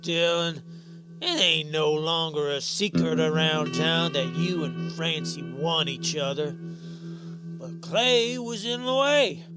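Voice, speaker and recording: A man's voice: fairly high pitch, takes it slowly. A man playing an angry, vengeful frontier townsman in a western radio drama, menacing and accusing. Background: music.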